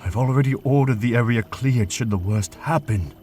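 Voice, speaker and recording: A man's voice: mid-range voice, moderate pace, warm tone, a little hiss or hum, lightly acted. Man playing an anxious fantasy prince, strained and earnest. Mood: grave, urgent. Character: anxious, courteous male fish-folk prince, burdened and urgent. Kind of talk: audio drama acting.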